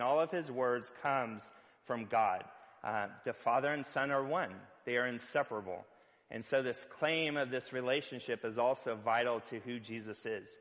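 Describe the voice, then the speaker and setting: A man preaching a sermon as pastor, loud-voiced and conversational, with filler words and playful asides. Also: mid-range voice, in a large hall.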